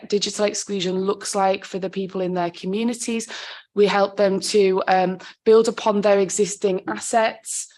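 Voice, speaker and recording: Husky-voiced woman with a sore throat. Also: medium pitch. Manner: conversational, with frequent ums and self-corrections. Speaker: council digital inclusion officer. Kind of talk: presenting a report to a committee.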